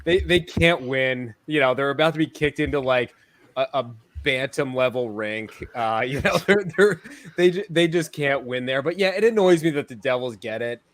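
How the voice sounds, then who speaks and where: A man's voice: medium pitch, animated, normal speed, fairly bright tone, no room sound. A man co-hosting a casual sports podcast, wry and rambling, chuckling as he talks. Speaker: podcast co-host.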